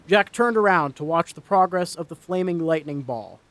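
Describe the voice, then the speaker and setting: A man's voice: a little high, lively intonation, moderate pace, fairly bright tone, no echo. A man reading a scripted story as a dramatic narrator. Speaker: narrator, reader. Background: story sound effects.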